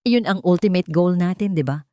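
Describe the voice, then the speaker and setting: A woman's voice: lowish voice, moderate pace, mellow, no echo, studio quality, natural voice. Woman voicing a cheery, preachy old Filipino grandmother. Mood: insistent, preachy. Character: cheery, oblivious elderly grandmother praising and preaching endurance.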